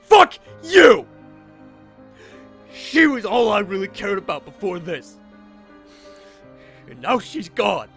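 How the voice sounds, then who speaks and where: Man playing guarded, hot-tempered young survivor, highish voice. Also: very animated, slow, long pauses, a bit bright, faint music behind, heavily acted. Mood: grieving rage. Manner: curt, defensive, foul-mouthed. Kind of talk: fan audio drama acting.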